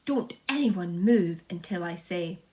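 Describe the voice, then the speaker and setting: A woman's voice: lowish voice, animated, measured pace, mellow. A woman voicing a panicky, grumbling fire chief in a children's storybook, exclaiming dramatically. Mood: wary.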